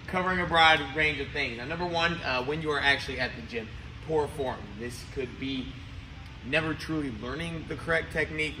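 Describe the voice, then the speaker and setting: A man in his thirties speaking as a gym coach, giving an instructional talk to camera. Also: medium pitch. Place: large indoor gym. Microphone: camera a few metres away. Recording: roomy, distant mic, noisy gym ambience.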